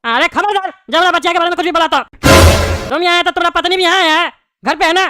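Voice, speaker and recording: A high-voiced performer playing a hot-tempered, scheming bald father in jail, scolding in Bihari-style Hindi for a comedy cartoon. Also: quick pace, over loud music. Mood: angry warning. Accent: eastern Indian (Bihari-style) Hindi. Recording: clearly noisy background.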